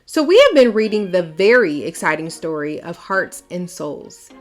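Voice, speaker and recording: Storytime narrator, American woman, slightly low register, expressive emphatic read-aloud, faint music underneath. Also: normal speed. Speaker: storytime host reading a book aloud.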